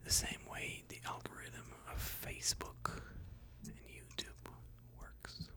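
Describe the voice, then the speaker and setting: A host whispering a sleep hypnosis session in a breathy, soothing, deliberate way, phrase by phrase.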